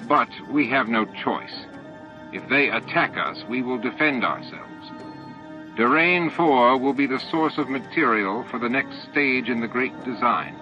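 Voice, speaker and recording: A measured, falsely regretful alien commander, voiced by a male audiobook reader, speaks formally to his hive. The recording is muffled, with faint music behind. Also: mid-range voice, pitched above the performer's own voice, lightly acted. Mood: firm, solemn. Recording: dull lo-fi tape audio with music.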